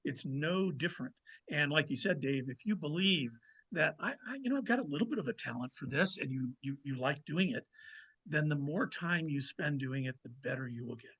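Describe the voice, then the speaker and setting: A man's voice: on the high side, animated, a little fast, fairly bright tone, husky, highs cut off. A man speaking casually as an author guest in an interview, giving emphatic advice and repeating words as he goes.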